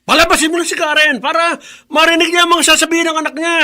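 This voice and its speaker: Sneering, piercingly high-voiced schemer. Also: male voice, flat delivery, fast talker, pushed and bright, exaggerated voice. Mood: smug mocking. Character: sneering, mocking male ally of a hostile aunt. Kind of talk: radio drama acting.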